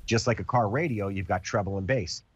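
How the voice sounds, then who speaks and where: A man's voice: fairly low pitch, brisk, breathy edge, dry recording, muffled recording. A man with a cold, sounding congested, hosting a casual product demo and explaining with everyday comparisons. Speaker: TV host demonstrating a product.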